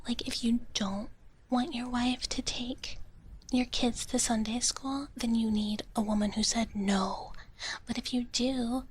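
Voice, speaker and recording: High-pitched woman whispering softly for ASMR. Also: slight background noise. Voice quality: soft, breathy whisper. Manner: rambling, playful, intimate. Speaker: ASMR livestreamer. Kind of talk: ASMR, casual chat with viewers. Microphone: close ASMR microphone.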